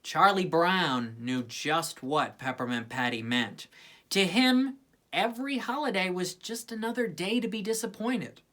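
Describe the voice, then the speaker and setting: A woman's voice: on the low side, lively intonation, unhurried, fairly bright tone. A woman reading a children's story aloud with plenty of expression. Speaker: storybook reader.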